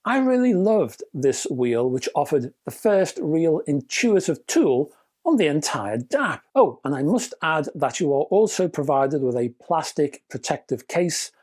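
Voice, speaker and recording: British guy doing a gadget review, super lively up and down pitch, chatty and a bit wry. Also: unhurried. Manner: chatty, wry, emphatic with rhetorical questions. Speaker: product reviewer. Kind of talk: scripted review narration with casual asides.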